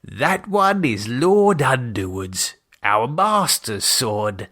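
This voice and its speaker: A man's voice: mid-range voice, sing-song, slow, fairly bright tone, heavily acted. A man voicing a fond, playful father in an audiobook, explaining things with hesitant affection and a touch of comic menace. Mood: fond, proud. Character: fond playful English father, gleefully wicked about swords.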